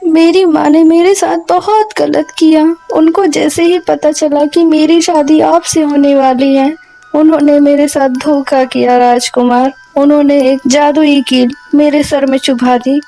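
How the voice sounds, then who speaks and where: A gentle young princess from an animated fairy tale, played by a woman, with a high, sweet voice and a slow, unhurried delivery. She sounds soft and innocent, and faint music runs underneath. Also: low-quality recording. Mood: pleading. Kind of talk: cartoon voice acting.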